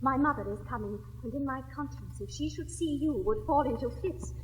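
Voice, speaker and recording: An actress playing a brisk, practical young married lady in a classic stage comedy, speaking in an urging, lively way. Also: sits high, natural voice. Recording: reverberant old recording, faint hiss.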